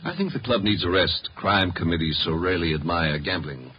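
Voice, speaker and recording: An actor playing a suave, menacing racket boss with dry, clipped, sardonic commands in a 1950s radio drama. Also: male voice, sits low, lively intonation, brisk. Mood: cold, sardonic. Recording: dull, noisy vintage radio recording.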